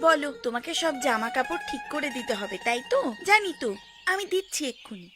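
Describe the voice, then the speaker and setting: A woman acts a homely, caring village sister-in-law in a cartoon. Her voice is very high and lively in its swings, with clear music underneath. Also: brisk. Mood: obliging. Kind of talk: cartoon character dialogue. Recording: music behind the voice.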